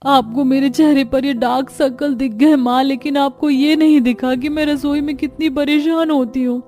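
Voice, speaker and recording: A woman voicing a young Indian daughter-in-law, polite but easily frustrated, in an earnest, expressive cartoon family story. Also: high voice, moderate pace, natural voice.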